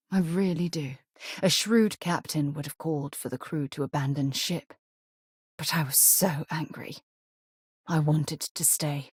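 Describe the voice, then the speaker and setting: A woman's voice: deep voice, darkish tone, husky, no echo, clean studio sound. An actress playing an earnest, principled woman space explorer in a video game, speaking in a composed, articulate, rather formal way.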